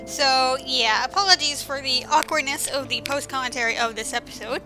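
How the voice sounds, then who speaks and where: A woman's voice: sits high, a little fast, low-quality recording. A woman giving casual video game commentary as host, with sarcastic asides, over music. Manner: sarcastic, mildly profane asides.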